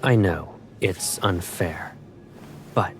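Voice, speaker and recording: An actor playing a possessive, protective young military colonel in a video game, speaking with controlled, intimate intensity. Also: male voice, low voice, slow pace, slight background noise. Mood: quietly menacing. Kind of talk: dramatic video game cutscene acting.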